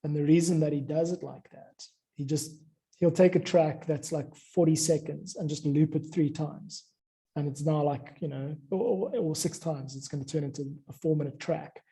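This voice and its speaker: A man's voice: fairly high pitch, steady tone, normal speed, vocal fry, mellow. A man in an informal, discursive chat, explaining his ideas as he goes. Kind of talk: informal chat about craft.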